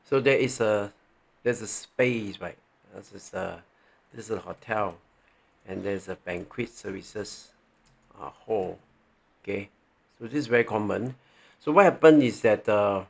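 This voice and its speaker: A man with a Malaysian accent giving an informal tutorial, thinking aloud haltingly and often checking in with the listener. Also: lowish voice, creaky. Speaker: tax training presenter. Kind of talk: informal tutorial walking through on-screen examples.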